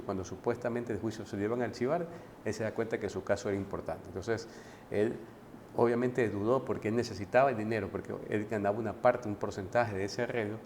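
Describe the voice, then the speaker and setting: Man, low voice, casual book talk, conversational, slight background hiss. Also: a little mellow. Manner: conversational, frequent restarts and tag questions. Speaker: book reviewer.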